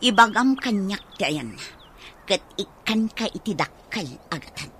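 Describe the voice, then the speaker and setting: A woman's voice: mid-range voice, sing-song, measured pace, fairly bright tone, slight background noise. A woman with a Filipino accent playing a suspicious, scornful, jealous wife in a radio drama. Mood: pressing, demanding.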